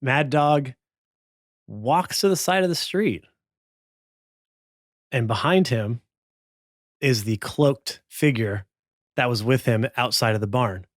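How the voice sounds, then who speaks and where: A man working as game master, improvising suspenseful narration for a tabletop western in a halting, lingering way. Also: medium pitch, dry recording, studio quality.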